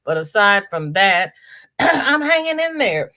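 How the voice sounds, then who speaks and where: A woman's voice: medium pitch, sing-song, slow, pushed and bright. A congested woman hosting a casual live crafting chat, greeting viewers by name and reading out their comments. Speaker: livestream host.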